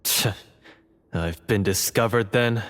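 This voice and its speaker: An actor playing a proud, treacherous guard in a video game, declaiming scornfully in a rough voice. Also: male voice, fairly low pitch, takes it slowly, fairly bright tone. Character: defiant male guard turned treacherous, proud hunter. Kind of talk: animated video game voice acting.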